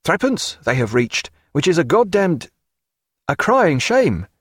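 A man's voice: fairly high pitch, very animated, unhurried, breathy edge, no room sound, clean studio sound, voice pushed up, full character voice. A man voicing an eager, respectful teenage midshipman, earnest and deferential, in an audiobook.

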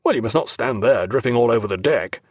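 A commanding old-style navy captain booms out his rebukes, as a male reader puts him on. The voice swings widely as he scolds. The sound is close and dry, with no room around it. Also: animated, quick pace, a little mellow, dry recording, lightly acted. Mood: scolding. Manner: booming, scolding, gruff. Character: blustering naval captain, tall and commanding. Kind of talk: audiobook character voice.

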